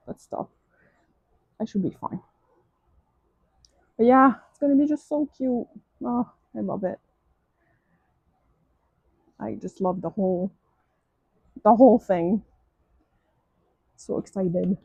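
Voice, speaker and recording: A woman's voice: mid-range voice, mellow, no echo, highs cut off. A woman with a French accent, French her first language, chatting casually through a craft kit unboxing, gushing and restarting often. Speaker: crafting vlogger.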